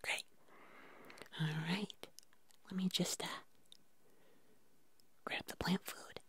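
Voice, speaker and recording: Woman as a slow, whispering, doting puppet creature. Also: fairly low pitch, steady tone. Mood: gentle, nurturing. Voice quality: soft, breathy whisper. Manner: slow, soothing, affectionate murmuring. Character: gentle, doting furry puppet creature tending houseplants. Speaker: ASMR roleplay host. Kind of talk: whispered ASMR personal-attention roleplay.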